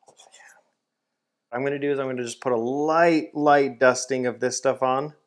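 A man in his thirties hosting a home grilling vlog, upbeat and hyped, who breaks into loud whoops. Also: medium pitch, steady tone, normal speed. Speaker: grilling channel host. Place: home kitchen and backyard.

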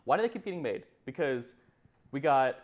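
A man's voice: mid-range voice, lively intonation, a little fast, highs cut off. A man in his twenties giving an opinionated, casual rant as a talk show panellist, blunt, emphatic and repeating himself for effect. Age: 20s. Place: small indoor TV studio.

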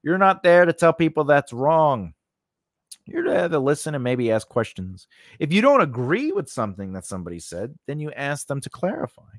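A man's voice: on the high side, big pitch swings, normal speed, dry recording. A man in his forties giving an informal, emphatic tutorial into a close studio microphone, repeating key points and checking in with short questions. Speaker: host of a screenwriting tutorial channel. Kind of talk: informal instructional talk to camera, partly read from notes. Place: indoor home studio. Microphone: close studio condenser microphone on a mount.

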